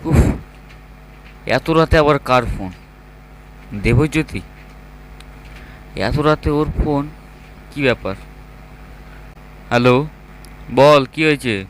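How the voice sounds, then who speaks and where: A grumbling young office worker from a horror cartoon, played by a man, mutters in exasperation. The pitch is average, with faint noise behind. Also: slow pace, long pauses, lightly acted, poor audio. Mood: puzzled.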